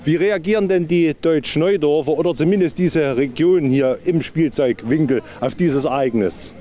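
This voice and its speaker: A male reporter conducts an interview on location. His voice is mellow but a little high for a man. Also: moderate pace.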